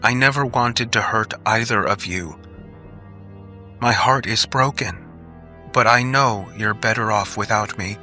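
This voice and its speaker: A man's voice: on the low side, a little slow, sharp tone, faint music behind, pitched above the performer's own voice. A man voicing a distraught, stern woman, an aunt, with an emotional, emphatic delivery in an audiobook story. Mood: tearful, resigned.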